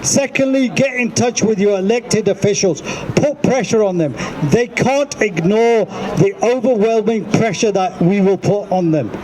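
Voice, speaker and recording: A man addresses an outdoor rally in an earnest, high voice, counting off his points with the odd filler. A crowd murmurs around him on the street. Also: a little slow, few pauses, poor audio. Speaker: rally speaker.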